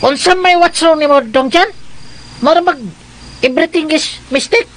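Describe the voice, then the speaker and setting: A woman's voice: high voice, moderate pace, lightly acted. A woman playing a joking, deferential, jittery friend in a radio drama, stammering and repeating words. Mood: teasing. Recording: faint noise behind the voice.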